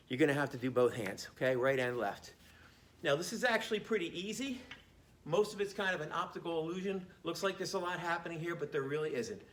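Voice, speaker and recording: A man teaching a martial arts class on camera, casual and joking as he demonstrates the moves. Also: fairly high pitch. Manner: relaxed, joking, talks while demonstrating. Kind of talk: casual instructional demo. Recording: echoey room, faint background noise.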